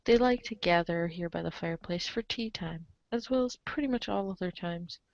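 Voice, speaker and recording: A woman's voice: lowish voice, normal speed, creaky, dry recording, lo-fi sound. A woman reading a webcomic script aloud as a reader's theater performer, with emphatic, playful exasperation. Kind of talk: animated dramatic reading of a webcomic, in second person.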